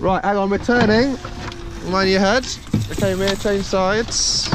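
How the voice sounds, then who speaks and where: A man's voice: high-pitched, steady tone, unhurried. A man teaching his young children to sail a small dinghy, patient and encouraging, full of praise. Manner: gentle, encouraging, lots of praise. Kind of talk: patient coaching and casual chat. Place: small sailing dinghy on a lake, outdoors. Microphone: boat-mounted action camera. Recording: outdoor wind and water noise.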